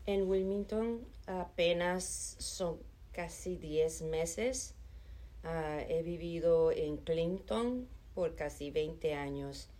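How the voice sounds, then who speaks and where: Middle-aged woman, low voice, unhurried, earnest interviewee. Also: low-quality recording. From Chicago, United States. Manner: calm and earnest, with hesitation fillers. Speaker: interviewee, nonprofit administrative assistant. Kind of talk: conversational interview answers.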